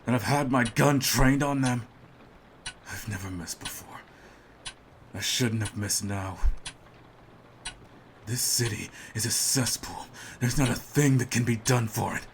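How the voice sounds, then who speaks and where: A man playing a cold, smug hitman in an audio roleplay, speaking in a theatrical, menacing and deliberate way. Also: mid-range voice, vocal fry, a little hiss or hum. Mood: shocked, agitated. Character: cold, smug male hitman holding his target at gunpoint. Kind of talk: scripted ASMR audio roleplay.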